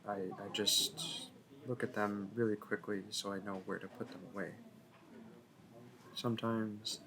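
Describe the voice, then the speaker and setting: A man's voice: fairly low pitch, noisy background. A man playing a shy, smitten young library worker in a roleplay audio, nervous and awkward, stammering and correcting himself. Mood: awkward, wistful. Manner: stammering, hesitant, self-correcting. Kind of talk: intimate roleplay audio acting.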